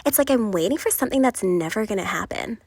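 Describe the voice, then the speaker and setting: Woman acting a lively, lovelorn cartoon passenger, dry sound. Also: mid-range voice, quick pace, breathy edge, no echo. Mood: wistful, frustrated. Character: lovelorn, frustrated female passenger venting about romance. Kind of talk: animated series dialogue.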